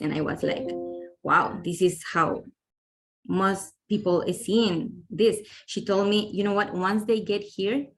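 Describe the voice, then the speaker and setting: Woman presenting books, chatty. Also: mid-range voice. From Honduras. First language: Spanish. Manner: enthusiastic, chatty, many fillers. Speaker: book presenter. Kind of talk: webinar book presentation.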